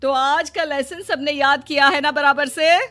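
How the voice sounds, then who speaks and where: A woman voicing an earnest, approving female college teacher in an animated moral story. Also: sits high, a little slow.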